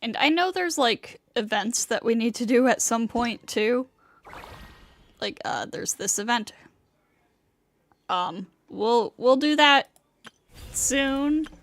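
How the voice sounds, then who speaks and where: A woman giving casual, unhurried commentary on a gaming stream, thinking aloud as she goes. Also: a little high, lively intonation, a bit bright, dry recording, clean studio sound. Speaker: livestreamer. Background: game music.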